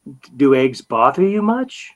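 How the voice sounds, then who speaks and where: A man's voice: mid-range voice, big pitch swings, measured pace, muffled recording. A naive young husband in an old-time radio comedy. He is polite and easily flustered, tripping over his words and hesitating often. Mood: naive, puzzled. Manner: hesitant, stammering.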